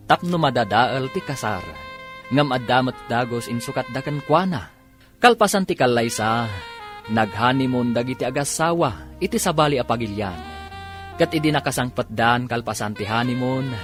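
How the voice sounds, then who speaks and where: A man announcing and narrating a radio drama in an emphatic, dramatic scripted style, drawing out the titles. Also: medium pitch. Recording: music behind the voice.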